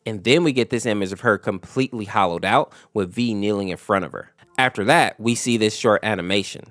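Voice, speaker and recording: Guy doing a lore explainer, lowish voice, chatty scripted recap, close dry mic. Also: fairly quick, fairly bright tone, no echo. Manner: explanatory, addresses viewers directly. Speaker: YouTube lore explainer host. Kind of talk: conversational scripted narration, recapping a story.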